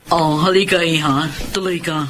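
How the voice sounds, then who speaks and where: A woman's voice: low-pitched, steady tone, normal speed, lightly acted. A woman playing a kindly, authoritative married lady lawyer in an audio drama, reassuring and inquisitive, motherly but firm. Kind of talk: Tagalog radio-style drama acting.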